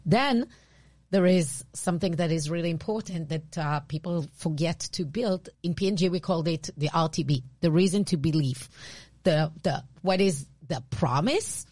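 A woman with an Israeli accent, co-hosting a podcast and explaining branding in a casual, animated way. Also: on the low side, moderate pace, no echo. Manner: animated, restarts often, laughs easily. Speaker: podcast co-host, branding expert.

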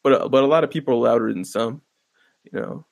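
Monotone, gravelly male podcast host. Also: mid-range voice, fast, no echo. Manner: laid-back, profane, rambling with many fillers. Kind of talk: casual solo podcast commentary. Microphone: close podcast microphone.

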